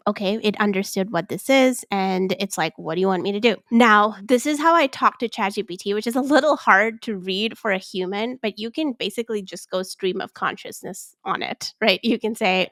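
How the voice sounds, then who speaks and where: A woman walking through a software tutorial as a finance expert, in an informal, conversational way, often voicing example instructions and questions. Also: medium pitch, no room sound. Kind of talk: casual walkthrough demonstration.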